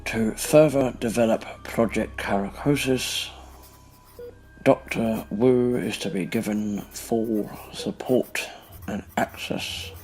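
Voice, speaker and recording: Radio play casting: male actor as frail elderly tycoon, low-pitched, very slow and halting, stubborn and earnest. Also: darkish tone, rough recording. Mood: formal, determined. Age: older. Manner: halting, pleading. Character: frail old businessman, earnest and stubborn, in a hospital bed.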